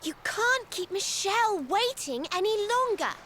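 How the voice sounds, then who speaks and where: Bossy young heroine, super high and bright, snaps at people. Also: female voice, lively intonation, a little slow, slight background noise, heavily acted. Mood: impatient. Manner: impatient, scolding.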